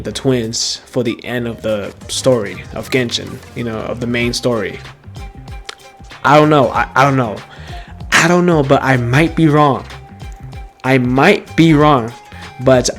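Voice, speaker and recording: Gravelly teenage guy rambling over a game. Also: medium pitch. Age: late teens. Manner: rambling, repetitive, hesitant. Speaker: gaming streamer. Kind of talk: casual playthrough commentary.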